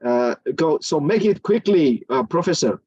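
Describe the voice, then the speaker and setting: A man moderating an online forum over a video call, courteous and halting with many filler pauses. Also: a little high, expressive, darkish tone, husky, no room sound, highs cut off.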